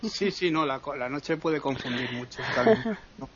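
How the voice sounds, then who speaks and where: A man's voice: medium pitch, expressive, moderate pace, a bit bright, muffled recording. A man with an accent from Spain talking casually as a radio travel guide, hesitant and rambling, often checking for agreement. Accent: Spanish from Spain. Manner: rambling, hesitant, keeps seeking agreement with tag questions. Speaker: radio travel segment presenter.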